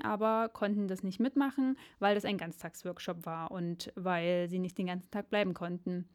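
A woman hosts a radio programme, speaking at a medium pitch. She explains the background and links the recorded pieces for listeners. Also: darkish tone. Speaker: host presenting a student radio segment. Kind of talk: presenting and linking audio segments.

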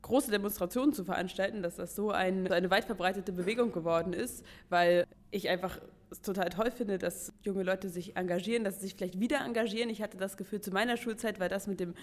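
A woman's voice: mid-range voice, fairly even intonation, fast talker. A woman giving spontaneous, personal answers in an interview.

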